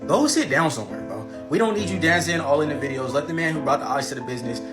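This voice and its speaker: A man's voice: fairly high pitch, animated, fast talker. A man giving sarcastic, amused reaction commentary. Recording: guitar music behind the voice.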